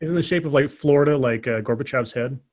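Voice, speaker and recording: A man's voice: medium pitch, fast talker, dull audio, a loud crowd. A man co-hosting a livestream in casual banter. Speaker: livestream co-host.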